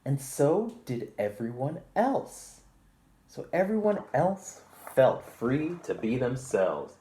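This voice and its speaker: Slow, animated storytelling man. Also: mid-range voice, slight background noise. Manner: polite, genteel, theatrical delivery. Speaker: library storytime presenter. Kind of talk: animated picture-book read-aloud with asides to children.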